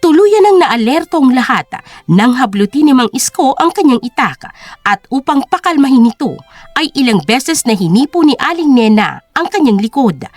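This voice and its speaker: Woman storyteller, high pitch, lively dramatic narration, close dry sound, music underneath. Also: dry recording.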